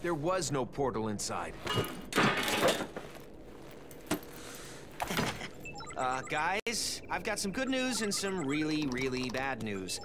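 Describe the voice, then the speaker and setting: A man's voice: medium pitch, sing-song, measured pace, heavy background noise. A man voicing an earnest, focused teenage mutant hero in a video game cutscene. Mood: worried. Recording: loud music under the voice.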